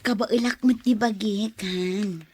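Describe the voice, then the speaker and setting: A woman playing a devoted young bride-to-be and tender daughter in an audio drama, with emotive, drawn-out delivery. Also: mid-range voice, fairly even intonation, darkish tone, slightly rough. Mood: tender, caring.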